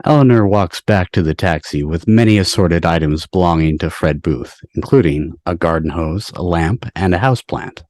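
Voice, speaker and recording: A man's voice: sits low, normal speed, hardly any pauses, darkish tone, no room sound, studio quality. A man reading stage directions as the narrator of a scripted table read. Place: video call from indoors.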